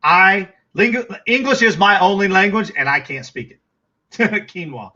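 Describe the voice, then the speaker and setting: Middle-aged Texan man, livestream host, rambling and chatty, slightly high bright voice. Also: expressive, unhurried, muffled recording. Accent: Texas. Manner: rambling, chatty, full of fillers and restarts. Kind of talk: casual livestream chat.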